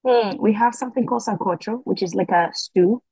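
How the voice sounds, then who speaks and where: A young woman from the Dominican Republic, answering interview questions in a relaxed way, with many fillers and restarts. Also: medium pitch, a little fast, husky, no room sound, low-quality recording. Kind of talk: casual conversational interview.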